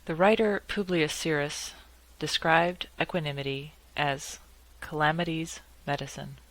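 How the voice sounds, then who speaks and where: A woman leading a guided meditation in a calm, measured way, leaving long pauses between short phrases. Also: low-pitched, low-quality recording.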